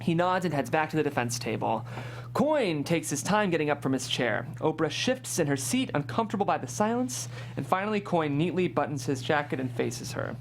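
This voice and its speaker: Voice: mid-range voice, big pitch swings, brisk, slight background noise. A narrator reading screenplay stage directions aloud at a table read, as scripted narration.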